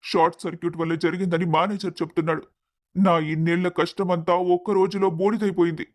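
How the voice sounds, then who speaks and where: A man's voice: sits high, little pitch movement, a little fast, close to an everyday voice. A man playing an earnest, grief-stricken middle-aged father in a melodramatic family story. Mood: defeated, apologetic.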